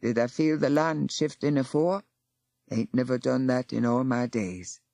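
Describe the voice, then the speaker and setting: A woman voicing a gruff old male cave-dwelling Aufwader elder. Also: extremely low pitch, measured pace, warm tone, no echo, studio quality, pitched below the performer's own voice. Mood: grave. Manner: gruff, broad dialect.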